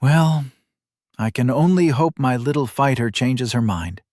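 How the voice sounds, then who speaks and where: A man voicing a doting middle-aged father who gently pries into his daughter's life. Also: mid-range voice, a little slow, dry recording, studio quality, pitched above the performer's own voice, lightly acted. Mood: teasing.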